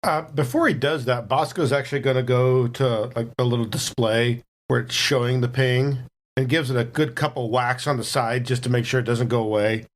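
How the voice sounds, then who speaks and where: A man's voice: mid-range voice, fairly even intonation, moderate pace. A man playing a tabletop roleplaying game, casually describing what his character does.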